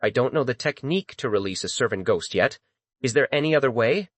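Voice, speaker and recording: A man voicing a calm young male master in a dramatized audiobook, casual and mockingly polite as he taunts. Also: medium pitch, brisk, no room sound, lightly acted. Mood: feigned puzzlement.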